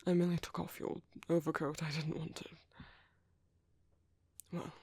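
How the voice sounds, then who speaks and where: Male actor as a gentle vampire priest, British accent, very husky whispery voice, creaky edges, courteous, caring, ASMR roleplay. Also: fairly high pitch, little pitch movement, normal speed, no echo. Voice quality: soft, breathy, husky. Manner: hesitant, gently reassuring, formally polite. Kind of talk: ASMR roleplay, soft intimate whispery speech.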